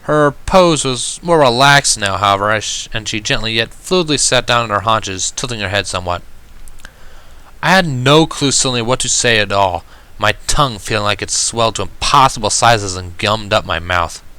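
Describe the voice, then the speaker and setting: Bright-voiced man reading a story. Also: on the low side, animated, normal speed, clean tone, no echo. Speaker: reader of fan fiction. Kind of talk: expressive first-person story narration. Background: background music.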